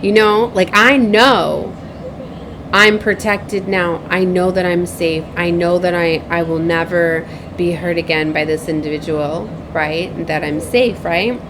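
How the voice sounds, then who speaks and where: A woman with a lowish voice talks casually, as in a personal spiritual vlog. She rambles and repeats herself, tagging phrases with little checks for agreement. Clear ambient noise with a vehicle-like rumble runs behind her. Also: fairly even intonation, unhurried. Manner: rambling, repetitive, frequently seeks agreement with tag questions. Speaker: spiritual advisor and tarot reader, host.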